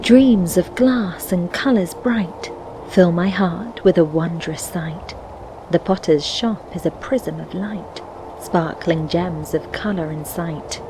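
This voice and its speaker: A woman voicing a dreamy, curious adventurer, reciting rhyming verse as slow, measured storybook narration in a fantasy game. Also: fairly low pitch, noisy background. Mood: dreamy, wondering. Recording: music under the voice. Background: music.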